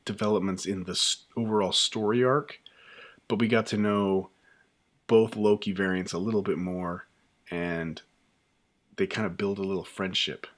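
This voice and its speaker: Low-voiced man chatting on a live stream. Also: moderate pace. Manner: relaxed, chatty, many fillers and restarts. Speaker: YouTube host reacting to a show. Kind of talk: casual live reaction chat.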